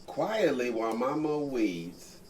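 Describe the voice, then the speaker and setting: A man reading a children's picture book aloud, taking turns with a co-reader, slowly and expressively. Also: medium pitch, very animated, a little mellow, a little hiss or hum. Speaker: co-reader of the story. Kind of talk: bedtime story reading.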